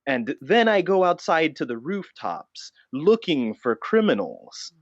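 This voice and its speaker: A man playing an earnest, self-serious vigilante notebook dressed as a bat, halting and over-insistent in an improvised comedy interview. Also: a little high, very animated, no echo, highs cut off. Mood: earnest, solemn. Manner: halting, solemn, over-insistent, stumbling over his cover story. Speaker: improvising guest in a mock interview.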